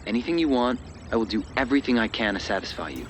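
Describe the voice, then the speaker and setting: A man's voice: mid-range voice, animated, a bit bright, slight background noise, close to an everyday voice. A man playing an entitled, powerful suitor in a video game cutscene, speaking formally and condescendingly with menacing politeness. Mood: courteous, self-assured. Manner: formal, measured, condescending, self-assured.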